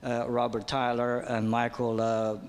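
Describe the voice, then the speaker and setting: A man from Romania delivers a formal address at a conference, pausing often on ums and uhs. His voice sits at an ordinary male pitch, and it rings out with a long reverb across a large hall. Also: completely flat delivery. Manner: halting, frequent ums and uhs. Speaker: panel speaker.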